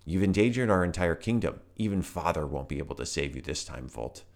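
A man's voice: low-pitched, expressive, fairly quick, gravelly. A man voicing a scornful older male firefly brother.

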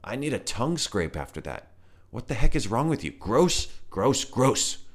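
A man doing a mellow old toad. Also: medium pitch, sing-song, normal speed, pitched above the performer's own voice. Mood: disgusted. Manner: drawling, easygoing slang. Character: laid-back lonely old male toad.

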